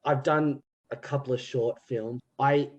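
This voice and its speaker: A man in his thirties, chatting casually on a podcast about theatre, relaxed and full of little restarts and "yeah"s. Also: medium pitch, measured pace, dull audio. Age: 30s. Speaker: theatre group member.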